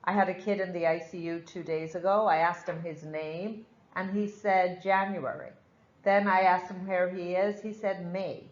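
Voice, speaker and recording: A woman's voice: on the low side, steady tone, moderate pace, roomy sound, highs cut off. A woman with an Irish accent teaching a live nursing class, frank and chatty, explaining with examples. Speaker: nursing instructor.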